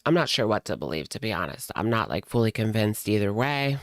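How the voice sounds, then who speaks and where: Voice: medium pitch, sing-song, fairly quick, no room sound, clean studio sound. A streamer giving casual live commentary in a blunt, sarcastic, conversational way. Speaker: reaction streamer.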